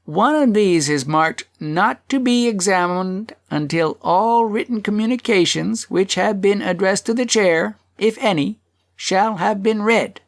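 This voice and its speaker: A narrator voicing a grave, formal male chairman of a town meeting in an audiobook, speaking in measured declamation. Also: medium pitch, pitched above the performer's own voice, lightly acted. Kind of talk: acted audiobook dialogue.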